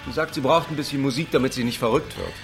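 A man playing a mild, fussy middle-aged father in a radio drama, apologetic and gently reproving. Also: mid-range voice, quick pace, gravelly, over music, lightly acted. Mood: exasperated.

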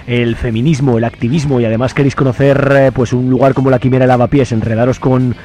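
A man with a Spanish accent from Spain presents a casual radio programme in a mid-range voice. He talks informally and encouragingly, moving between interview chat and announcements. Soft music sits in the background. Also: medium pitch, little pitch movement, fast. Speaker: radio host. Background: faint music.

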